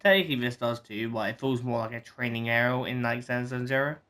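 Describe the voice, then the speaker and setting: A game streamer talking in a mid-pitched voice. The commentary is casual and informal, rambling from one thought to the next. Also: fast, fairly bright tone.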